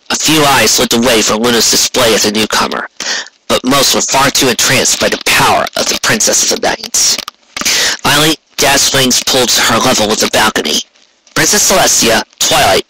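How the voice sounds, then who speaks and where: A man's voice: mid-range voice, noisy background. A man reading scripted fantasy fiction aloud as an audiobook narrator. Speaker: fan fiction audiobook reader.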